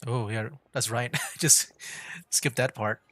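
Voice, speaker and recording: A man's voice: medium pitch, steady tone, slow, a bit bright, a little hiss or hum. A man hosting a game livestream with casual commentary, musing aloud in a rambling way. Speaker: livestream gamer host.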